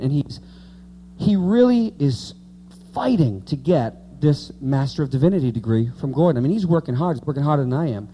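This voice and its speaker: A man's voice: medium pitch, dark tone, slight background noise, poor audio. A man in his late thirties preaching an informal evangelical sermon, repeating key words for emphasis and speaking conversationally to the congregation. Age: late 30s.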